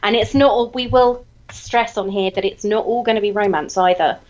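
A British woman co-hosting a casual chat, animated and emphatic, with a slight lingering cough. Her pitch is middling, and the call audio sounds dull and lo-fi. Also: moderate pace. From United Kingdom. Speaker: podcast co-host.

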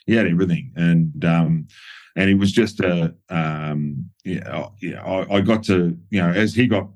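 A middle-aged Australian man from New South Wales, a former footballer, reminiscing in a relaxed interview with frequent ums and restarts. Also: deep voice, normal speed. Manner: many fillers and restarts, chuckles at stories.

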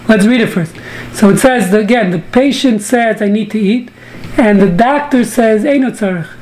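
A woman's voice: on the high side, moderate pace, a little mellow, a little hiss or hum. A woman from the US teaching a Talmud class over a video call, joking and bantering with participants. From United States. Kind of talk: online study class.

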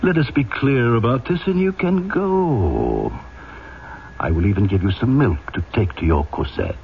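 An actor playing a cold, stern, scheming police inspector in an old-time radio drama. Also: male voice, medium pitch, very animated, a little slow. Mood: stern, insinuating. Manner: clipped, sardonic, domineering. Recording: dull, noisy old radio recording.

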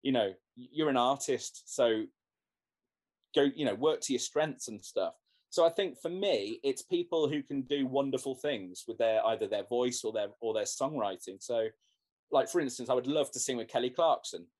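A man's voice: medium pitch, expressive, normal speed, darkish tone. A man, a musician, talking casually in an interview, rambling with many restarts and fillers. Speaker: guest musician.

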